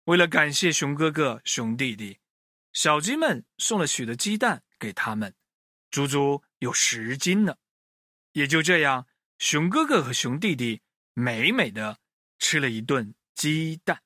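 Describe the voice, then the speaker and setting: A man telling a children's story in an expressive way, speaking directly to his young listeners. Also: fairly high pitch, unhurried, dry recording, studio quality.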